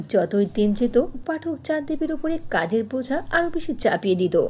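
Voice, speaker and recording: A woman narrating a cartoon story in an expressive storytelling manner. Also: a little high, a little hiss or hum.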